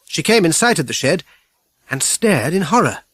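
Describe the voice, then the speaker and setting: A man's voice: fairly high pitch, very animated, normal speed, a bit bright, no echo. British man narrating a children's story. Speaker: audiobook narrator.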